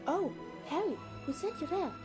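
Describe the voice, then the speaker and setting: A woman plays a cautious teenage schoolgirl witch in an audio drama. Her voice is high, proper and probing, with a worried hesitancy. Music is heard behind her. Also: lively intonation, moderate pace. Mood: surprised. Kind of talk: fan audio drama acting.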